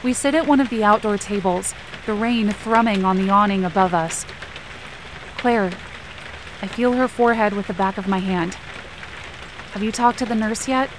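A woman's voice: mid-range voice, a little hiss or hum, lightly acted, low-quality recording. A woman playing an anxious, injured young camp counselor, narrating a first-person audio drama in a tense, dramatic way. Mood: concerned. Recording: rain and ambience behind the voice. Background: rain and outdoor ambience effects.

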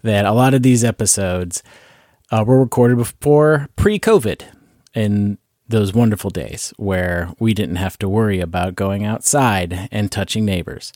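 A man's voice: fairly low pitch, animated, unhurried, darkish tone, no echo, studio quality. A man from Canada with a slight Canadian accent, hosting a casual comedy podcast and rambling with self-mocking jokes. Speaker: podcast host.

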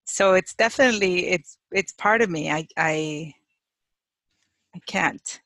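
A woman from Chicago, a psychologist, speaking in a casual interview with frequent laughs and thoughtful pauses. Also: fairly low pitch, no room sound. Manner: warm, laughs often, thoughtful pauses with fillers. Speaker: guest, clinical psychologist and sex therapist. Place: home office in Hawaii.

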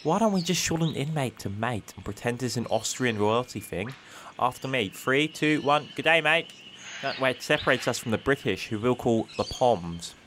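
A man's voice: medium pitch, expressive, fairly bright tone, a little hiss or hum, close to an everyday voice. A man playing a cheeky, scheming convict who talks in matey slang in a comic story. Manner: matey, slangy fast-talker. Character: cheeky, scheming male convict posing as easygoing nobleman.

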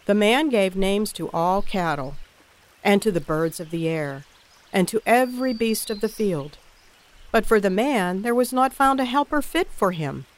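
A woman giving scripted narration of a Bible story as the narrator of a cast production. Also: mid-range voice.

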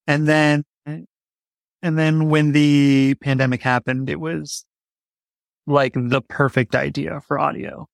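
A man's voice: on the high side, flat delivery, slow, creaky, no room sound, studio quality. A man speaking casually as an interview guest, thinking aloud and often rephrasing himself as he explains his writing. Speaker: podcast guest, playwright.

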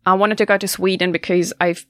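A woman in her twenties from Germany, hosting a casual student radio chat, talking fast and loose with frequent laughs and restarts. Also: mid-range voice, flat delivery, fairly bright tone, no room sound, clean studio sound. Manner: chatty, laughs often, restarts sentences.

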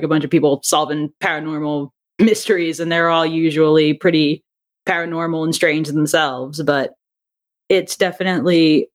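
A woman in a casual interview chat, talking in an animated way and acting out bits of quoted thought as she explains her creative work. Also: low voice. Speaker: interview guest, audio drama writer and director.

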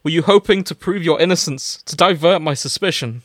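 Melodramatic male lover, put on by a man, breathless with feeling, pitched up a little, for a comic fan fiction reading. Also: brisk, no room sound, studio quality, close to an everyday voice. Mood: accusing. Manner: hammy, melodramatic. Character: Will, lovestruck, dramatically emotional man in fan fiction.

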